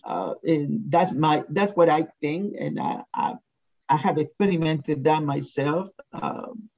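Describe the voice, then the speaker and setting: A man's voice: a little high, very animated, slow pace, gravelly, darkish tone, lo-fi sound. A man from Chile, earnestly sharing his personal opinion in a discussion from home. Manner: earnest, reflective. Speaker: discussion participant.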